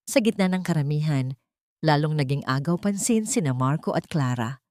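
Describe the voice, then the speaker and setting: Low-pitched woman narrating a dramatic story. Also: a little mellow, no room sound, studio quality.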